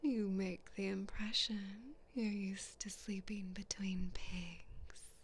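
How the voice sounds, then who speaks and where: A woman playing a cruel, haughty evil queen in a whispered ASMR roleplay, taunting and condescending in a breathy voice. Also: medium pitch, steady tone, takes it slowly, a little hiss or hum.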